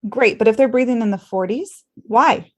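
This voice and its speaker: A woman's voice: a little high, moderate pace. A woman hosting a medical podcast conversation, speaking earnestly and emphatically, often posing rhetorical questions. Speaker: podcast host and former travel nurse.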